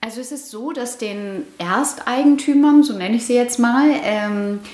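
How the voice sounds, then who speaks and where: A woman in her forties, born in Iran, answering interview questions thoughtfully with many pauses and restarts. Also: fairly high pitch, a little mellow, a little hiss or hum. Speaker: interviewee, housing project resident. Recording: roomy echo.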